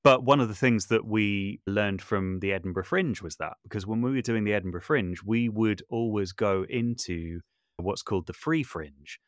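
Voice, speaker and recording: A man's voice: fairly low pitch, no echo, studio quality. A man speaking reflectively as an interview guest, thoughtful and often hedging or correcting himself as he goes. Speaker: podcast guest, audio drama maker and composer.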